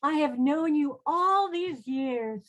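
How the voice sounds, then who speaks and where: A woman's voice: sits high, expressive, takes it slowly. A woman acting an earnest, proud, devout condemned queen in an online play reading. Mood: wistful, earnest.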